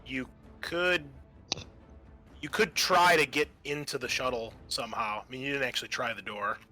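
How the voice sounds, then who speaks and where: Male game master, bright mid-pitched voice, off-the-cuff horror narration with hesitations, light music underneath. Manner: halting, casual, profane.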